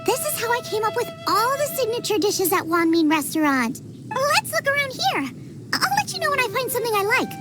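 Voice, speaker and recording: Eager young female game chef: tiny high voice, cheerful and confident, music underneath. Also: very animated, a little fast. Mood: breezy, confident. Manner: perky, eager, self-assured. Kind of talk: animated video game voice acting.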